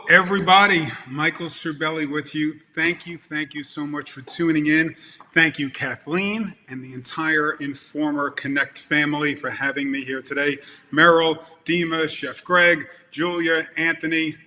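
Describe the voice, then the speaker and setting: A man in his fifties with a Brooklyn accent, giving an animated motivational talk over a video call and repeating words for emphasis. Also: a little high, moderate pace, big-room sound, slight background noise. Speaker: event producer speaking to a conference. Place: home room with plants and lamps, indoors. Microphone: video call webcam.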